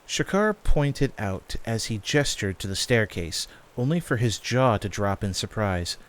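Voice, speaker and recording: A man's voice: fairly low pitch, animated, unhurried. A man reading scripted fantasy story narration as the narrator of an audio drama.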